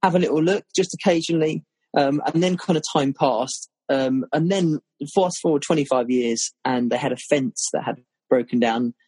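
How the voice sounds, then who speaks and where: A man in his late thirties, a guest on a casual podcast, telling stories in a relaxed, rambling way. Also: a little high, normal speed, breathy edge, no room sound. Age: 30s. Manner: relaxed, rambling storytelling with fillers.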